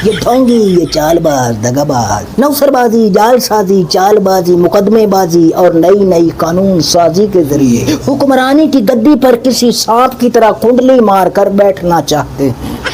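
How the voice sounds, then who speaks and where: A man's voice: sits high, big pitch swings, a little slow, darkish tone, a little hiss or hum, rough recording. A man from Pakistan delivering a fiery, mocking satirical political monologue full of repeated rhetorical questions. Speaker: political commentator.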